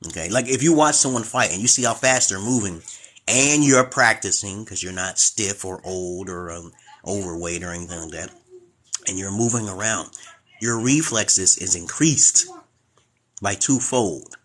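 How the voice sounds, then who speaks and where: A man's voice: lowish voice, moderate pace, fairly bright tone, breathy edge. A man in his forties from Brooklyn, hosting a casual livestream and rambling from topic to topic. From Brooklyn, New York, USA. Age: 40s. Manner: rambling, loses his train of thought. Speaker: livestream host.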